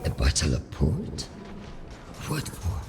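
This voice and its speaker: A man's voice: rumbling low, huge pitch swings, slow, background noise, exaggerated voice. An actor playing a preoccupied, regretful husband and father in a video game drama, speaking tersely and hesitantly. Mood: sheepish.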